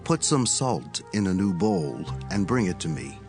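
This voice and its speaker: Elisha, an animated solemn prophet. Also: male voice, medium pitch, unhurried, gravelly, faint music behind, exaggerated voice. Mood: solemn, assured. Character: Elisha, Hebrew prophet, firm and solemn man. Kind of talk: dramatized Bible audio drama.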